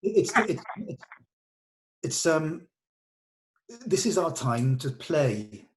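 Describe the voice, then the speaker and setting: A man's voice: fairly high pitch, big pitch swings, slow pace, low-quality recording. A man, speaking as a director in an informal rehearsal, in a hesitant, thoughtful and encouraging way. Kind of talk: informal rehearsal discussion, some reading of stage directions.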